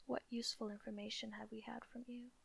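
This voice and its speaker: A woman's voice: on the high side, little pitch movement, quick pace, gravelly, a bit bright, breathy edge, exaggerated voice. A woman whispering a sneering, fanatical witch. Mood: accusing. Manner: whispered throughout. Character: fanatical, hot-tempered witch, sneering and jealous.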